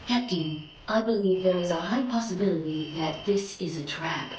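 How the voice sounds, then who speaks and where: A woman's voice: fairly low pitch, normal speed, lightly acted. A woman voicing a cautious, analytical ship officer in scripted game dialogue, precise and unemotional. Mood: calm, cautious. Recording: echoey, clear background noise.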